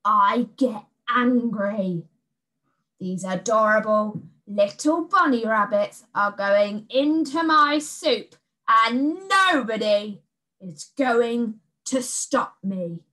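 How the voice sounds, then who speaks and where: Storybook beast, hungry and huffy, slow growling delivery; a woman acts it. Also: mid-range voice, animated, a bit bright, poor audio. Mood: menacing. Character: hungry grumpy male soup-cooking beast.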